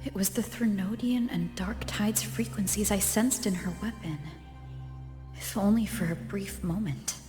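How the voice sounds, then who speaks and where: A guarded, calculating young female warrior played by a game voice actress, measured and serious, at a middling pitch. The voice rings with long reverb over clear music. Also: natural voice. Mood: pensive. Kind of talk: English game voice acting, inner monologue. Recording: echoey reverb, music behind.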